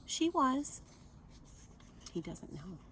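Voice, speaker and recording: A woman reading a picture book aloud to children in an expressive manner, adding asides and questions for her young listeners. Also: sits high, very slow, noisy background.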